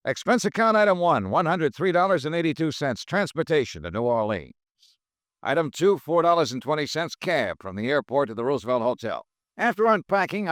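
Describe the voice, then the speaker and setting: An actor playing a brisk, wry insurance investigator who narrates his own case in a 1950s radio drama. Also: fairly high pitch, no echo, clean studio sound. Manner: brisk, wry, matter-of-fact. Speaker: narrator and lead detective. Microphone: close studio mic, dry.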